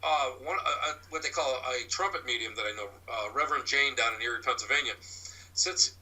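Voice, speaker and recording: A man with a loud voice, answering interview questions about his paranormal work in a rambling way, full of restarts and fillers. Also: medium pitch, brisk, low-quality recording. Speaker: paranormal investigator and medium. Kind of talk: interview guest, casual explaining.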